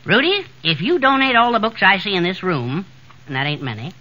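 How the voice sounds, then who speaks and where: Sharp, swooping, wisecracking small-town mayor. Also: male voice, high-pitched, sing-song, brisk, exaggerated voice. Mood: needling. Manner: folksy, teasing. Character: needling, wisecracking small-town mayor, male friend and rival. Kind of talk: 1940s radio comedy acting. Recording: dull 1940s radio recording.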